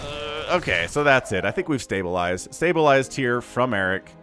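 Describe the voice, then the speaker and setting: Guy casting a game replay, pitch jumping all over, jokey and chatty, bit of music under it. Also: moderate pace. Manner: animated, chatty, jokey, with laughs and hummed fillers. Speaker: game shoutcaster. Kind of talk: casual play-by-play commentary.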